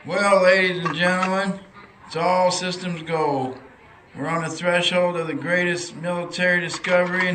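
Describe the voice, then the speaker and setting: Male actor as military commander: medium pitch, declamatory, self-important. Audio drama with noticeable noise. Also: close to an everyday voice. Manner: declamatory, pompous. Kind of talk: radio drama acting. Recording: noisy internet drama recording.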